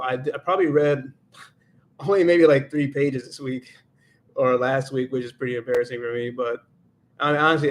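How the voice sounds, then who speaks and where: A man hosting a casual livestream, thinking aloud in a reflective way and slipping into Spanish now and then. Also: medium pitch. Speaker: livestream host and Spanish learner.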